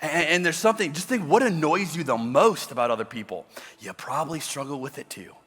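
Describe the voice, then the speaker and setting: A middle-aged male pastor with a loud voice preaching a sermon, swinging from loud emphasis to quiet, intimate asides. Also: fairly high pitch, lively intonation, a little fast, slightly rough. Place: large church auditorium. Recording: reverberant hall with faint music behind.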